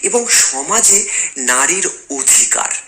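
A man's voice: sits high, expressive, slow, background noise. A man with a Bengali accent from West Bengal teaching an exam lesson to school students, repeating phrases and asking check questions. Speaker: history teacher, online exam coach.

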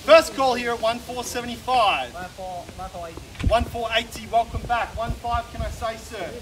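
A man in his thirties with an Australian accent, calling a live house auction outdoors in brisk, persuasive patter that keeps repeating the bids. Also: very high voice. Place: outdoors on a suburban street in front of a house. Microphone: camera filming from some distance, no mic seen. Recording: distant voice with loud street and traffic noise.

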